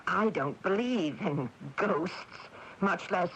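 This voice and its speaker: A woman playing a spirited, practical homeowner in a radio drama, speaking in a deliberate, emphatic, theatrical way. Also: lowish voice, expressive, a little hiss or hum, low-quality recording. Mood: firm.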